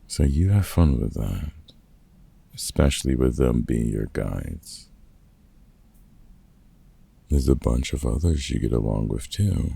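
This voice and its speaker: A man playing a gruff, wry older vampire hunter in an ASMR roleplay, a fatherly mentor with a very gravelly, husky voice and dry, teasing delivery. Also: a bass voice, lively intonation, takes it slowly, pauses often, warm tone, full character voice. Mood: wry, supportive. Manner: dry, teasing, hesitant with unfamiliar slang. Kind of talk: ASMR roleplay audio drama, one-sided conversation.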